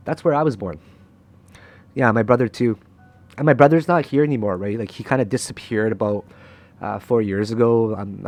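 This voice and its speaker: A man's voice: fairly low pitch, a little mellow. Frank, swearing young man talking to camera. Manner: frank and emotional, swears freely, keeps asking "right?". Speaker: crypto trader and channel host. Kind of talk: candid motivational talk to camera.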